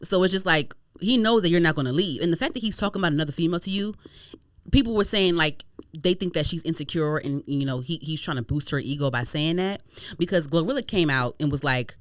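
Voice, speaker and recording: A woman hosting a podcast in a casual gossip chat, animated, slangy and profane, often repeating herself for emphasis. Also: low-pitched, fast talker, no echo, studio quality. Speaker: podcast host.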